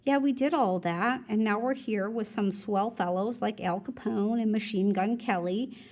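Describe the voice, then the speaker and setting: A woman teacher reading a novel aloud in expressive, conversational first-person narration, like a classroom read-aloud. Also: mid-range voice, moderate pace. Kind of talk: read-aloud storytelling with brief teaching asides.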